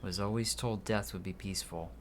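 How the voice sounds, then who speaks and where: A grieving, restless soul from a video game, read by a man in a low voice. He speaks earnestly and mournfully as a lost husband, with faint noise behind. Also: fairly even intonation, a little fast, lightly acted. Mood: earnest, pleading.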